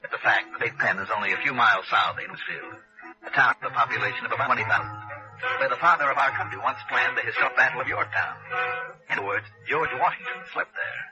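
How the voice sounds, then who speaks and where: An actor playing a hardboiled but decent American insurance investigator in a 1960s noir radio drama. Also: male voice, medium pitch, quick pace, close to an everyday voice. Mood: brisk, wry narration. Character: hardboiled male freelance insurance investigator, brisk yet decent. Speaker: lead actor and narrator. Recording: dull old radio broadcast, music behind.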